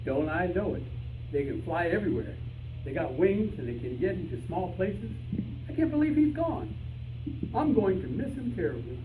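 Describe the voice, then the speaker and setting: A man playing an excitable, argumentative, stubborn detective in an old-time radio style stage play. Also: sits high, normal speed, narrow, tinny audio. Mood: worried. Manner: indignant, argumentative. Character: excitable stubborn male detective searching for his lost canary. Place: live rehearsal before an audience. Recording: echoey room, distant mic, faint noise.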